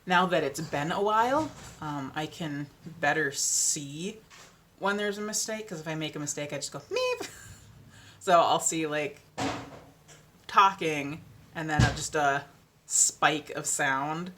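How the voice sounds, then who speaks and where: Low-voiced woman chatting casually. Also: very animated, slow, background noise. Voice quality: deeper voice. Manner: rambling, self-deprecating, frequent ums and laughs. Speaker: audiobook narrator and vlogger. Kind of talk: casual chat.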